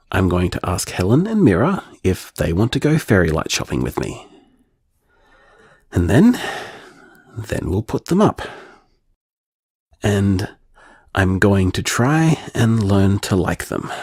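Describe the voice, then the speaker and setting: A man playing an anxious, tender man confiding in voicemails to his partner in an intimate audio drama, hesitant and earnest, with halting phrasing. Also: medium pitch, a little mellow, breathy edge, no room sound, clean studio sound, heavily acted. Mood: determined, hesitant.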